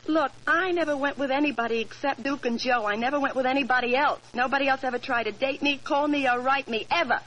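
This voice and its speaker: A woman's voice: sits high, fast talker, hardly any pauses, a bit bright. Brassy, streetwise young woman. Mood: indignant. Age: young adult. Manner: slangy, wisecracking. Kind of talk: 1940s radio acting. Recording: noisy old radio recording.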